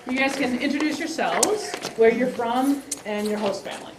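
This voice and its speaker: Woman presenter at a community meeting, rambling, heard from a distant mic in a roomy space. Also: on the high side. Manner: rambling, halting with fillers and restarts. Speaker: exchange program coordinator. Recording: roomy sound from a distant mic, faint hiss.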